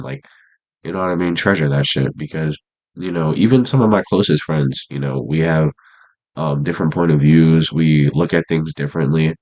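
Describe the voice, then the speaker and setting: A young man with an extremely deep voice and a stuffed-up, congested nose talks casually to his camera. His speech is loose and rambling, packed with slang and fillers, in an unscripted vlog monologue. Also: darkish tone. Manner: laid-back, rambling slang talk with many fillers. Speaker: vlogger. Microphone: camera mic.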